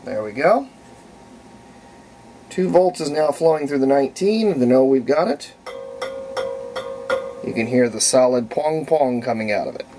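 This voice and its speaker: Casting: American man, folksy hobbyist demonstrator with a mid-pitched voice, colloquial and thinking out loud. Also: vocal fry, poor audio. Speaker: hobbyist demonstrating homemade radio. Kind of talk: casual hands-on demonstration.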